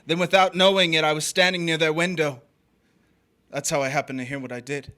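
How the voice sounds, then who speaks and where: A man's voice: on the high side, steady tone, brisk, bright, forceful tone. A man playing a restless, smitten drifter in a crime drama, terse and uneasy. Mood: brooding narration. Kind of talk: crime drama acting with first-person narration.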